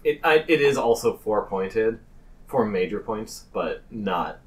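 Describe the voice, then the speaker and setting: A man runs a tabletop game, narrating off the cuff in a halting way. His delivery is slow and very lively in pitch, picked up a little away from the mic. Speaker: game master. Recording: distant mic, faint noise.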